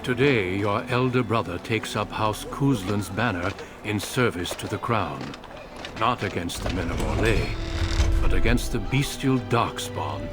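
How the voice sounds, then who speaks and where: Man as solemn narrator, low, deliberate, clear music bed. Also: close to an everyday voice. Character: solemn male game narrator. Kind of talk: scripted narration.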